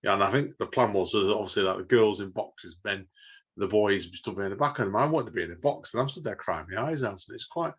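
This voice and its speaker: Male podcast co-host, Manchester accent, lowish husky voice, informal rambling chat with frequent tag questions. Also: quick pace. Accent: Northern English, Manchester area.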